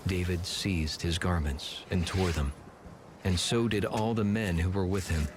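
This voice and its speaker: A man narrating scripture from a script in a measured, solemn manner. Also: deep voice, steady tone. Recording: clearly audible background noise and ambience.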